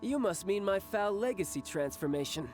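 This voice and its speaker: A man's voice: high voice, expressive, normal speed, a little mellow. A cocky young male commander in a fantasy video game. He speaks in a theatrical, commanding way with playful confidence, over faint background music.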